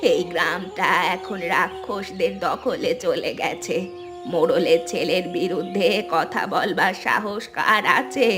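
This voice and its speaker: A woman's voice: lowish voice, close to an everyday voice. A woman playing a resigned, bitter old village grandmother in a melodramatic cartoon, speaking in a grave, consoling way. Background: loud music.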